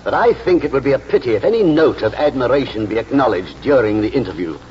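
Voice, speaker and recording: A man playing a pompous, disapproving committee member, speaking deliberately in a 1940s radio drama style. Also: mid-range voice, very animated, natural voice. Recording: dull, hissy 1940s radio recording.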